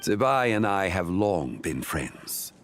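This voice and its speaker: A man playing a solemn ancient god in a video game, speaking with formal, stately, deliberate phrasing. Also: low voice, very animated, a little hiss or hum, full character voice. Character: solemn, measured ancient male god of contracts. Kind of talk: scripted game voice acting.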